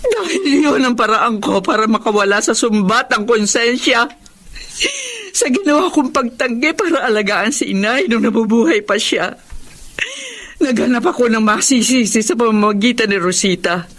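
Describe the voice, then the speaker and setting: An actress with a Filipino accent playing a guilt-ridden eldest sister, deliberate and confessional, in a radio drama. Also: female voice, a little high, fairly bright tone.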